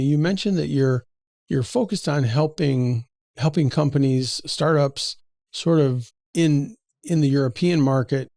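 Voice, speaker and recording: Mellow-voiced male podcast host. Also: medium pitch, creaky, no room sound, clean studio sound. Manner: conversational, rephrases questions with repeated words. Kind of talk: interview questions.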